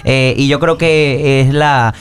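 A man's voice: mid-range voice, takes it slowly, smooth. A man from Venezuela, a salsa singer, chatting easygoingly as a guest in a radio studio. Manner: relaxed, easygoing, uses slang. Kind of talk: casual interview chat.

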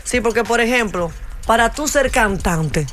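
Un hombre con acento caribeño que charla de forma informal como copresentador de radio y mezcla frases en inglés. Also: male voice, extremely high pitch, lively intonation, a little slow, a bit bright, a little hiss or hum. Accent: Caribbean Spanish. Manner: colloquial, mixes in English phrases. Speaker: radio morning show co-host. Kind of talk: casual radio banter. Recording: music playing behind.

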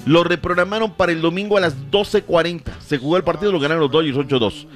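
Emphatic man hosting sports talk, higher-pitched voice. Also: quick pace, a bit bright, faint music behind. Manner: emphatic, rhetorical, formal wording. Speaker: sports talk show host. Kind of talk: opinionated sports discussion.

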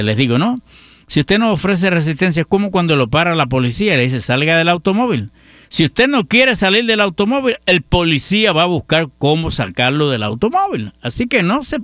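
A man's voice: a little high, a bit bright, no echo, clean studio sound. A middle-aged Puerto Rican man with a Puerto Rican accent hosting a news roundup, chatty and folksy, talking to listeners as friends. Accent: Puerto Rican Spanish. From Puerto Rico. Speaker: radio news host. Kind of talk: news roundup with personal commentary.

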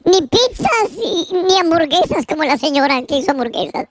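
A man voicing a wisecracking male squirrel comedian who fires off quick mock-serious punchlines on a radio show. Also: very animated, slight background noise. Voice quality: squeaky, high. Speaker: comedy segment performer. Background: loud music.

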